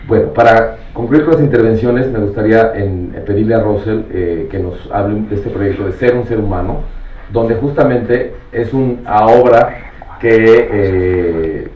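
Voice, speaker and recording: Low-voiced male moderator in echoey room. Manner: halting, circles back to rephrase. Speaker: panel moderator. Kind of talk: spontaneous round-table moderation. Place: roomy panel venue. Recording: echoey, distant mic, dull, faintly noisy.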